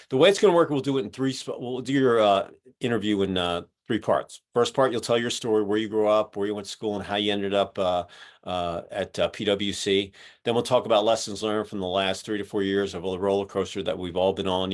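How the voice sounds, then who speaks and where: A man hosting an interview podcast, introducing his guest in a halting way with many uhs. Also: low-pitched. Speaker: podcast host.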